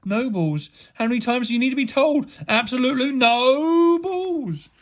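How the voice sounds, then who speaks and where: A woman's voice: medium pitch, animated, moderate pace, vocal fry, breathy edge, voice pushed up. A woman voicing a manic, thundering male schoolteacher in a storybook, spluttering and repeating in exasperation. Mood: furious. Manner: bellowing, repetitive, spluttering.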